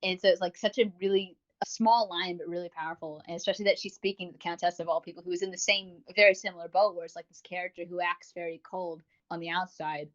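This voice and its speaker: A woman's voice: fairly low pitch, fairly even intonation, fast talker, few pauses. A woman co-hosting a podcast in a casual review chat, rambling along with enthusiasm. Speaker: podcast co-host. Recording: dull lo-fi audio.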